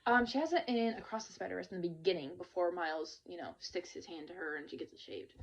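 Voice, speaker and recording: A woman, co-hosting a casual podcast chat, animated and laughing, full of "like". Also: lowish voice, quick pace. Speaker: podcast co-host. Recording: dull lo-fi podcast recording.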